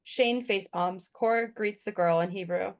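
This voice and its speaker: A woman's voice: mid-range voice, unhurried. A woman reading scripted stage directions as the narrator of a table read.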